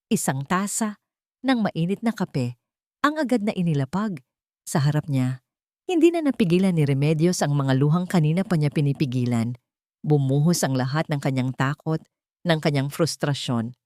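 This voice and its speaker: A woman narrating a scripted dramatic story in an emotive, deliberate way. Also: sits low, lively intonation, darkish tone, no room sound, clean studio sound.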